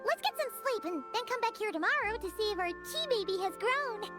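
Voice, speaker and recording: A woman voicing a small, excitable childlike fairy companion in a video game, squeaky and given to exclaiming. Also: a little fast, music in the background. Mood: excited. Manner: exclaims, speaks of self in third person. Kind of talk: video game character acting.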